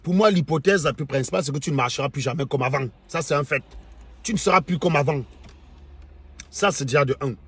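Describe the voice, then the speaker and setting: A man's voice: a little high, quick pace, fairly bright tone, slightly rough. A middle-aged man with a Cameroonian accent, delivering an opinionated live monologue to online followers in a mocking, combative manner, repeating phrases for emphasis. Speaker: livestream host.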